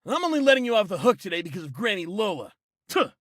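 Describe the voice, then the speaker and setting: An actor playing a hot-headed, resentful pirate in an anime game, brash and scornful in delivery. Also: male voice, high voice, big pitch swings, quick pace, fairly bright tone, dry recording, clean studio sound, full character voice. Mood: taunting. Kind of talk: English anime game voice acting.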